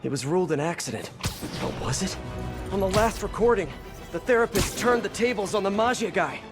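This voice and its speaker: An actor playing an earnest young superhero detective in a video game, musing aloud with curiosity and worry over music. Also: male voice, sits high, lively intonation, a little fast. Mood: curious. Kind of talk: video game voice acting, investigative monologue.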